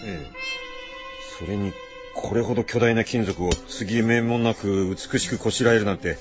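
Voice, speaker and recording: A man's voice: on the low side, normal speed, highs cut off, faint music behind, lightly acted. A man acting a learned, observant scientist in a radio drama, speaking with formal, old-fashioned scholarly phrasing. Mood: astonished.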